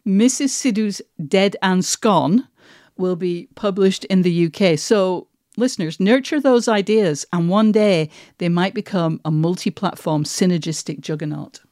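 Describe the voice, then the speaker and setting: A woman's voice: lowish voice, measured pace, dark tone, no room sound, clean studio sound. A British woman hosting a podcast, talking in an articulate, emphatic, conversational way. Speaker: podcast host.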